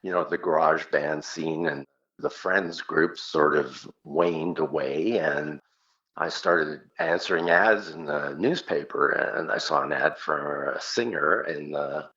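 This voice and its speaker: A man's voice: rumbling low, slow pace, sharp tone, dull audio. An older man with a Canadian accent, a singer casually reminiscing as an interview guest.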